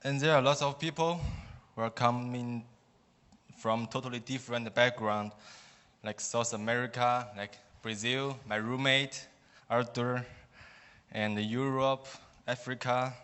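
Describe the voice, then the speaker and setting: A young Chinese-accented man shares his testimony slowly and carefully, pausing often as he searches for his English words. His voice has a bright, clear edge. It rings out in a hall with a long reverb. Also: mid-range voice, steady tone. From China. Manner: halting, careful non-native English with pauses. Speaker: student giving a testimony. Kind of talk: personal testimony speech.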